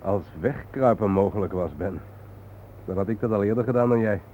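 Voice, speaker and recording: Low-voiced, weary, brooding man acted on old radio. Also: fairly quick, lightly acted. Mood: troubled. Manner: grave, reflective. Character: weary, brooding, haunted male guide, calming a companion. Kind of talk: radio drama acting, at times poetic. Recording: tinny narrow-band old radio recording, hissy.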